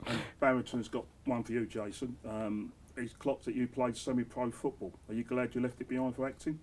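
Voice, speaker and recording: A man's voice: medium pitch, level tone, brisk, a little mellow, slight background noise. A middle-aged man, hosting a casual interview, reading out viewers' questions and joking with his guests. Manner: jokey, blokey, reads out viewer questions.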